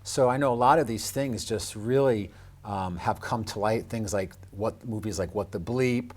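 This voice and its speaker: Low-voiced man in discursive radio discussion. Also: normal speed.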